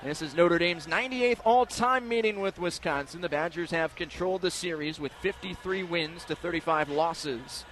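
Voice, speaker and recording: High-voiced man calling hockey over arena noise. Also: normal speed, hardly any pauses. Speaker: play-by-play hockey announcer. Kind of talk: live radio sports commentary. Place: indoor ice hockey arena. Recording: noisy live arena broadcast. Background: loud arena noise.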